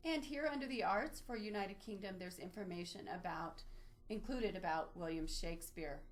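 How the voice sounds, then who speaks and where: A woman with a mid-pitched voice leads an online training session. She talks in a semi-scripted, explanatory way, guiding listeners step by step through what is on screen. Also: normal speed. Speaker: webinar presenter, library trainer. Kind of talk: instructional screen-share walkthrough, semi-scripted.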